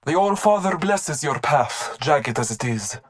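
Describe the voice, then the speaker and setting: A devout hunter with a low, slow and solemn delivery. The speech is formal and old-fashioned. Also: female voice, expressive.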